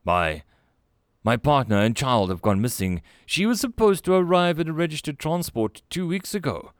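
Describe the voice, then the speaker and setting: A man voicing a nervous, furry alien male who speaks formally and haltingly. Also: on the high side, very animated, no room sound, studio quality, voice pushed up. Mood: distraught.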